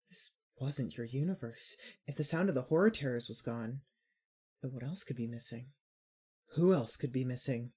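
A performer narrating dramatic second-person fiction as an anguished, urgent storyteller with a breathy voice. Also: mid-range voice, a little mellow, close to an everyday voice. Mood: hushed, uneasy. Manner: intense, urgent, emotionally swinging. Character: anguished second-person narrator telling a frantic girl's awakening.

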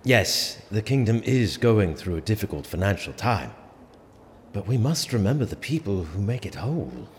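Low-voiced, commanding medieval sheriff. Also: male voice, very animated, moderate pace. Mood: reflective, weary. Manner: firm, authoritative, formal. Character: commanding, measured sheriff with some compassion. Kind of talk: medieval audio drama acting. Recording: long hall reverb, faint ambient noise.

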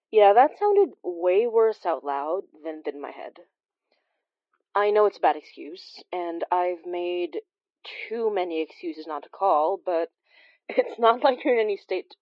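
A woman's voice: lowish voice, very animated, measured pace, dry recording. An actress playing a rambling, self-deprecating Filipino immigrant woman who confides hesitantly and chattily in an audio drama monologue. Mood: sheepish, wry. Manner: hesitant, chatty, trailing off and correcting herself. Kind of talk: audio drama monologue as an intimate phone-call confession. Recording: slightly dull, dry close recording.

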